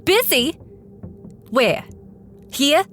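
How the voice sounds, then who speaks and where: An actress playing a jealous, demanding, excitable girlfriend in an audio drama, with theatrical, insistent delivery. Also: high voice, very slow, faint music behind, heavily acted. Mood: suspicious, demanding.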